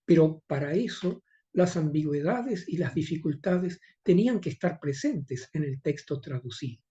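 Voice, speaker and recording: A man's voice: on the high side, expressive, moderate pace, mellow, lo-fi sound. An older man with a Rioplatense Spanish accent, reading a formal academic conference talk with occasional hesitations. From Argentina. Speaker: conference speaker.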